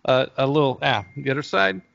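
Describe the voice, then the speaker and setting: A middle-aged man with a gravelly, fry-edged voice gives a casual interview. He talks hesitantly and wanders as he thinks aloud. The sound is roomy, like an indoor online call. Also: medium pitch, fairly quick, fairly bright tone, highs cut off. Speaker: interview guest, game company founder.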